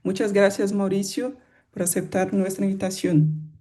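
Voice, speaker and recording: A woman moderating a colloquium, giving a formal introduction of the guest speaker in a slightly halting way, with fillers. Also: medium pitch, little pitch movement, dark tone.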